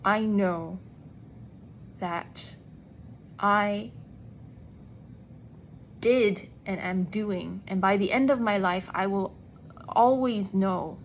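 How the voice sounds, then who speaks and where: A woman actor speaking in an earnest, deliberate personal monologue with emphatic pauses. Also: medium pitch, fairly even intonation, slight background noise. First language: English. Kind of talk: reflective personal vlog monologue.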